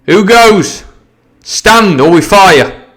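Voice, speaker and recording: A man's voice: a little high, normal speed, a bit bright, pitched above the performer's own voice, natural voice. A man voicing a stern, cold middle-aged ship's captain who gives clipped orders. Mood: alert. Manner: clipped and commanding.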